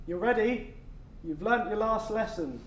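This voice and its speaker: Echoey, high-voiced earnest man on stage. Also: unhurried. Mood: tender, resolute. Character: earnest afterlife official pleading to choose his forever, tender and resolute. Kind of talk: stage play acting. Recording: echoey stage, distant mic, faint noise.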